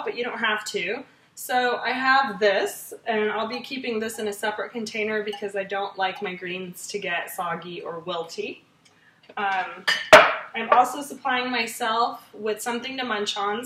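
A woman's voice: on the high side, bright, forceful tone. An American woman in her thirties giving a casual, chatty, informal cooking demo to camera in her home kitchen, as a fitness host. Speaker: fitness gym trainer hosting a meal prep series. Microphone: camera mic a few feet away. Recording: echoey kitchen, mic at a distance.

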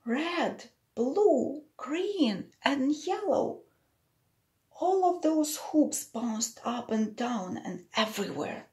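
A woman's voice: high-pitched, sing-song, drawn-out words, darkish tone. A middle-aged woman reading a picture book aloud to young children, explaining it simply and putting questions to the listeners. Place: small plain room indoors.

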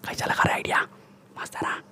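A young man playing a swaggering, bullying Mumbai gangster in a breathy ASMR whisper, with slangy, boastful Mumbai Hindi speech, close to the microphone. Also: fairly quick, sharp tone. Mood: scheming. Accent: Mumbai Hindi street slang. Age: young adult. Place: small room indoors. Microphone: close desktop condenser microphone.

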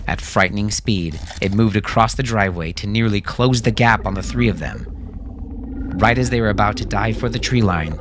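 A man narrating a scripted fiction story as a dramatic audiobook reader. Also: lowish voice.

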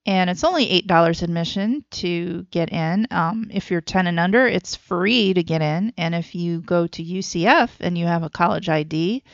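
A woman co-hosting a podcast, chatting casually in a laconic, low-key way. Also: moderate pace, creaky, few pauses, no echo, highs cut off. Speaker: podcast co-host. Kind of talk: casual chat with brief replies.

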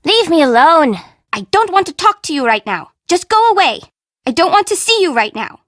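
High, lively, perky young bento shop woman. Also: normal speed, no room sound, clean studio sound, heavily acted. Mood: irritated. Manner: bubbly, teasing, sarcastic, informal. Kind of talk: video game character voice acting.

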